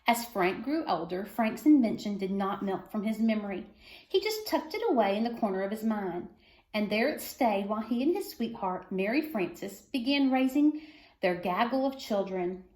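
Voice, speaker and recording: A woman's voice: medium pitch, expressive, moderate pace, a little mellow, reverberant, low-quality recording. A woman reading a children's story aloud for schoolchildren, phrasing expressively and stressing key words. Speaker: reader of a children's book.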